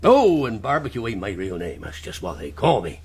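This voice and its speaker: An actor in a radio drama playing a sly, jovial one-legged pirate cook with a salty, theatrical sailor's dialect. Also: male voice, lowish voice, big pitch swings, normal speed, breathy edge, full character voice. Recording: noisy radio drama with ambience behind.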